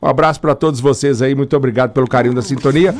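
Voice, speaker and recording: A man's voice: medium pitch, fairly even intonation, rapid-fire. A man hosting a morning radio show, chatty and joking, sending greetings to listeners. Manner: jokey, chatty, laughs often. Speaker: radio host. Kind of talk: morning radio shout-outs.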